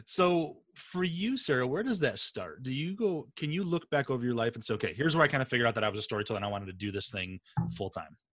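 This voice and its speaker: A man's voice: medium pitch, animated, fast talker, fairly bright tone, no room sound. A man hosting a podcast in a conversational, enthusiastic and emphatic way. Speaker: podcast host and interviewer.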